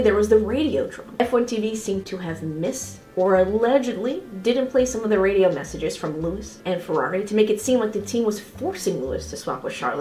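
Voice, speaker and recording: A woman's voice: mid-range voice, darkish tone. A woman hosting a semi-scripted race review, speaking in an informal, opinionated and emphatic way. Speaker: motorsport video creator and host. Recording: roomy echo with faint music behind.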